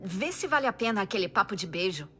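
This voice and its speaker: A woman's voice: mid-range voice, sing-song, fast talker, pushed and bright, faint music behind. A Brazilian woman playing a sardonic, teasing female vampire in a cartoon, with a flippant manner.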